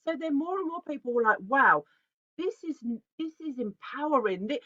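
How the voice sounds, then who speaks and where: A woman, giving a chatty, animated presentation that often breaks off and restarts itself. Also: high voice, moderate pace, dry recording. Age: 40s. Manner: chatty, animated, self-interrupting. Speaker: artist giving a talk. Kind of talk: casual conference presentation. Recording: dull, lo-fi video call audio.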